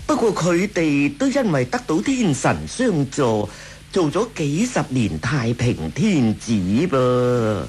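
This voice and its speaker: A man's voice: a little high, big pitch swings, a little mellow. A male actor with a Hong Kong Cantonese accent playing an obsequious, scheming court eunuch in a radio drama. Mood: fawning. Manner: obsequious, drawn-out and swooping. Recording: radio drama with faint music.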